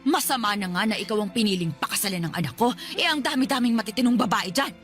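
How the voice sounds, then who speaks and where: A woman's voice: on the high side, expressive, fast, loud music behind the voice, close to an everyday voice. An actress playing a cruel, haughty older mother-in-law in a Filipino radio drama, scolding with sarcasm and contempt. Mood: vicious rage.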